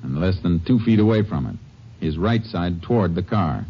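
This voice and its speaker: An actor playing a calm, shrewd Texas Ranger investigator in a 1950s radio drama, talking in a steady, deliberate way. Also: male voice, sits low, breathy edge. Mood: calm, probing. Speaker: lead actor. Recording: dull old radio recording with faint hiss.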